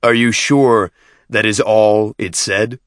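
Gruff male alien war chief for an audiobook, performed by a male narrator: stern, commanding, barking orders, very dry close sound. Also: low-pitched, expressive, measured pace, fairly bright tone, dry recording, exaggerated voice. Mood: angry, impatient.

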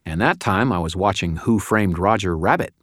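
A man performs a wisecracking wizard in an audiobook. He is sardonic and mock-cheerful, with a very lively swing to his pitch and a dry, close sound. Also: normal speed, no echo. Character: wisecracking, defiant male wizard.

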